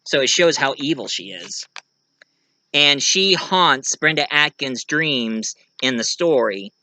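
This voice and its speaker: A man's voice: mid-range voice, lively intonation, slow pace, pushed and bright, no room sound, low-quality recording. A man talking casually as a video creator, telling about his own writing in a rambling, enthusiastic way. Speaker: video creator and amateur writer. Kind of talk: casual vlog talk.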